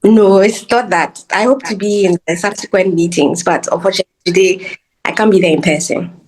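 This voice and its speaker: A man's voice: high voice, steady tone, brisk, rough recording. A man joins a meeting remotely on a video call, answering politely and briefly.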